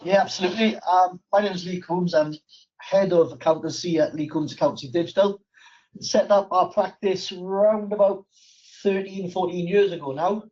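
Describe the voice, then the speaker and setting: A man's voice: high-pitched, moderate pace, a little mellow. A man with a Welsh accent from South Wales, introducing himself as an accounting firm owner on a panel, easygoing. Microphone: video call. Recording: distant dull web call audio.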